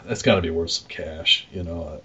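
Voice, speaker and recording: A deep, husky-voiced American man chats as a podcast co-host. He rambles in a jokey, self-deprecating way. The audio is dull and lo-fi. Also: brisk, sharp tone. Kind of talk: casual podcast chat.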